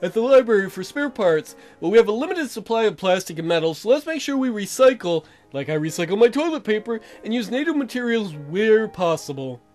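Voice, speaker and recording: A man voicing a colony mayor in a video game, a practical, slightly goofy official who explains things haltingly and informally. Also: high voice, big pitch swings, a little mellow, faint music behind. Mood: practical, explaining.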